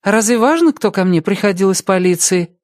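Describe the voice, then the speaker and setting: A polite, composed woman witness played by a male narrator explains things matter-of-factly in a detective audiobook. The voice is pitched up and lighter, recorded dry and without room. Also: lively intonation, normal speed, no room sound. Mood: matter-of-fact, explaining. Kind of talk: audiobook character dialogue.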